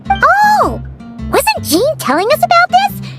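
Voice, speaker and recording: Tiny squeaky fairy sidekick for a game, curious n chirpy, swoops all over. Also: female voice, huge pitch swings, takes it slowly, over loud music, full character voice. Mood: curious, surprised. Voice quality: squeaky, childlike. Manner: cute, exclamatory. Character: small childlike fairy companion, curious, chirpy, excitable. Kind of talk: video game character acting.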